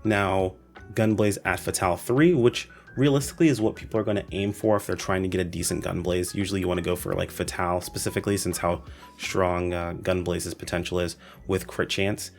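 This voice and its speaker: Guy doing casual game commentary, pretty deep crackly voice, chatty w emphatic asides, faint music behind him. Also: fairly even intonation, brisk. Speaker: gaming video host. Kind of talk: casual explainer commentary on game weapon stats.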